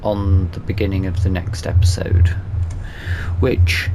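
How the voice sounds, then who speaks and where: A man's voice: sits low, flat delivery, measured pace, noisy background. A man giving casual let's play commentary, musing as if talking to himself. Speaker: gaming video creator.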